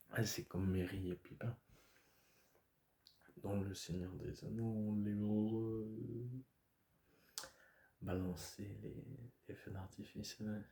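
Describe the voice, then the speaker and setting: A man talks casually to camera, hoarse and stuffy from a cold. His voice sits very low and he speaks slowly, stopping and restarting often. The chat wanders, full of fillers. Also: little pitch movement, a little mellow. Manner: hesitant, rambling, many fillers and restarts. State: hoarse, stuffy-nosed, coughing. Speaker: video creator.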